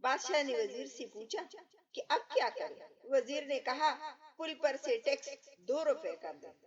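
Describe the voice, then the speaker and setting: Female narrator for scripted moral stories, higher-pitched, dull lo-fi sound. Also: animated, unhurried, distant mic. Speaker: storyteller and channel host.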